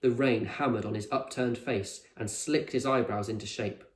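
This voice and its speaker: Male teacher-narrator, expressive children's storybook read, medium pitch, echoey room. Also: moderate pace, a little hiss or hum. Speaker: teacher reading a children's novel aloud.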